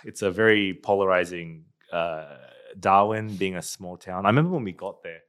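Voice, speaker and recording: A man, a company founder and guest, telling stories in a laughing, animated way. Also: low voice, normal speed, clean tone. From Australia. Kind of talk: casual interview chat.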